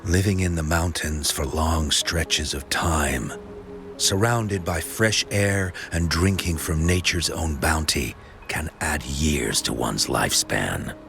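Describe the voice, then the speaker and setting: Theatrical game storyteller, gravelly, unhurried, mysterious, dramatic legend-telling, music behind. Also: male voice, a bass voice, fairly bright tone, exaggerated voice. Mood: grand, inviting. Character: theatrical old-fashioned male storyteller spinning legends. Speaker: storyteller character.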